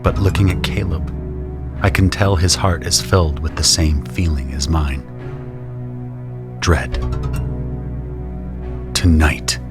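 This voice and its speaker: A man's voice: very deep voice, fairly even intonation, slow pace, long pauses, over music. A man delivering scripted first-person narration for a dramatic audio story.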